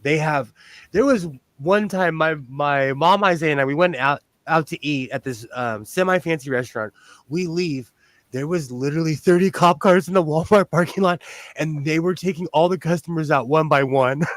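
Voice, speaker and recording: A man in his forties hosting a sewing livestream, chatting casually in a high but loud voice, rambling, laughing often and restarting his sentences. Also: normal speed, no echo. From lives on the West Coast of the US. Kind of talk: casual chat while sewing.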